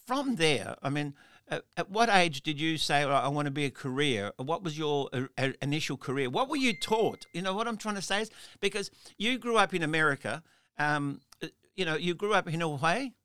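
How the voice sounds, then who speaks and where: Man, interview host, bright tone, rambling questions, dry close sound. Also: fairly high pitch, animated, brisk, smooth, no echo. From Australia. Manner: rambling questions with frequent restarts and laughs. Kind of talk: casual conversational interview.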